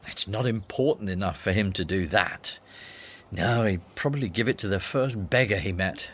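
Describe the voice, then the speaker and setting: An actor with a Northern Irish accent playing Judas, a teasing, sardonic disciple who cares most about money, in a radio drama. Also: male voice, low-pitched, moderate pace, a bit bright, slight background noise. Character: Judas, scheming money-minded male disciple.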